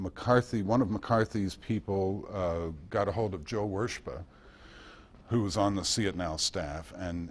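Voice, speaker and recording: Low-voiced man giving an informal talk. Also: slight background noise. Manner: halting, reflective storyteller. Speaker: guest speaker.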